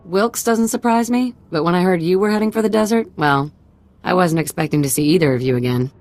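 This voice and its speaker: A woman's voice: on the low side, brisk, darkish tone, no room sound, close to an everyday voice. A woman playing a tough, frank frontier underworld figure in a video game, speaking in a casual, plain-spoken way. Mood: wry surprise.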